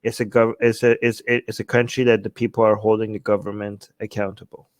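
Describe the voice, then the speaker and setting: A man's voice: lowish voice, level tone, a little fast, no echo. A man giving casual political commentary as a co-host, talking emphatically and often checking in with rhetorical questions. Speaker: talk show co-host.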